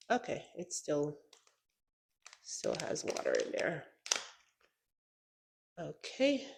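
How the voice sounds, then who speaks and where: A woman with a stuffy nose from a cold, chatting casually through a gardening how-to vlog and saying "y'all" often. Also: deep voice. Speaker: gardening vlogger.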